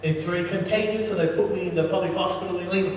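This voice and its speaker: A man's voice: high-pitched, fairly even intonation, fairly quick. A man interpreting a sermon in short consecutive phrases, restarting and repeating words as he translates. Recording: echoey hall, distant mic, noisy.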